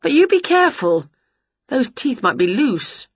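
A shrill, over-the-top storybook witch, put on by a woman reading aloud, whose voice leaps far above a normal speaking pitch and swings wildly. Her tone is a little husky and comes through a dry, dull, lo-fi recording. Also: very animated, unhurried, dry recording, heavily acted. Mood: fussing. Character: excitable, dramatic female witch. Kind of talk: storybook character voice.

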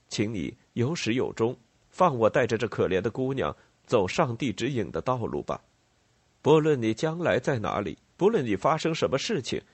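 A man's voice: mid-range voice, slightly rough, dry recording. A man voicing an honourable young nobleman in a story, speaking firmly and earnestly, with steadfast pleading. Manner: firm, earnest.

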